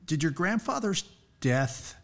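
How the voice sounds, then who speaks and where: A man hosting a podcast, reading a scripted introduction and asking interview questions in a measured way. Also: a little high, sing-song, vocal fry, a bit bright. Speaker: podcast host.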